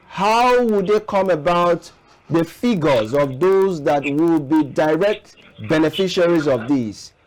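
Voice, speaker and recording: A Nigerian man hosting a broadcast interview, putting questions in measured, formal and wordy phrasing. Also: on the high side, a little mellow, poor audio. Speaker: interviewer, show host.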